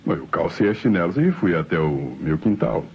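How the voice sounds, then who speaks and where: A man's voice: low voice, expressive, normal speed. An actor playing a defensive married man giving hesitant, emphatic testimony in old radio drama style. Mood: uneasy recounting. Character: defensive married man, neighbour who saw a flying saucer. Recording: muffled, faintly noisy old radio recording.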